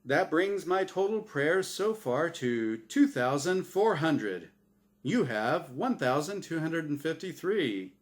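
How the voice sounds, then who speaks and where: A man's voice: expressive, slow pace. A man voicing a gleeful, smugly cheerful angel game-show host with a big announcer delivery, in a story reading. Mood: smug, teasing. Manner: booming, exaggerated announcer delivery.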